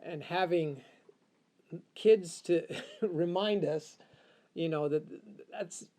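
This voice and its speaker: A man's voice: fairly high pitch, slow pace, dark tone. A middle-aged man from Uganda talking reflectively and casually as an interview guest, repeating phrases for emphasis. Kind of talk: casual personal conversation.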